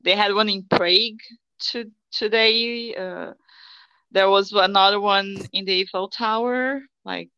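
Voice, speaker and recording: A woman guesting on a podcast, her voice bright and forward as she talks in halting, restarting phrases. She comes through a remote call with dull, lo-fi audio that sounds dry and close. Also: mid-range voice, fairly even intonation, clean tone, no echo. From Brazil. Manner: halting, restarts often, laughs between phrases. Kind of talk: casual podcast chat.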